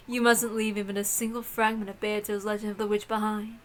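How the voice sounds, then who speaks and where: A woman's voice: mid-range voice, lightly acted. A woman voicing a haughty, cruel witch mistress who gives imperious, scornful orders in a visual novel read-aloud.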